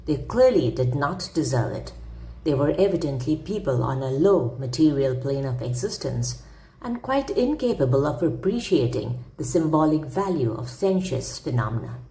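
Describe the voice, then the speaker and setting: A woman's voice: sits low, dark tone, reverberant, low-quality recording. A woman narrating a classic humorous story aloud as a storyteller, with theatrical, emphatic phrasing.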